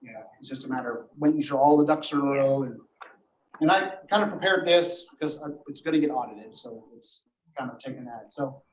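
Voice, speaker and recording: A man gives an informal report to a board at a meeting, explaining things in a halting, matter-of-fact way. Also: mid-range voice. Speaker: emergency dispatch official reporting to a board. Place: indoor meeting room. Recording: echoey meeting room, dull muffled audio.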